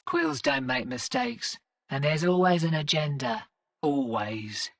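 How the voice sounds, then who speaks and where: A brash rebel gunner in a game, with a low, creaky, hard-edged voice. She tosses out sardonic lines with casual swagger, a little away from the mic. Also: animated, slow, dry recording, distant mic, exaggerated voice. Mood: weary, wry. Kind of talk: dramatic game mission dialogue.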